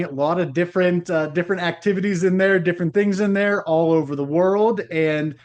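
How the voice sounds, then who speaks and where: A man hosting a podcast, speaking in a polished, upbeat presenter manner. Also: a little high. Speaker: podcast host. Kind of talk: podcast host intro and interview.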